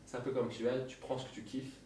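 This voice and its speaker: A man's voice: mid-range voice, level tone, fast talker, dark tone. An easygoing man hosting a casual interview, his questions slangy and a little rambling. He sits back from the mic, and the sneaker store gives him a strong, roomy echo over a faint hiss. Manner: easygoing, slangy, thinks aloud. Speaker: interviewer host. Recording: echoey store from far off.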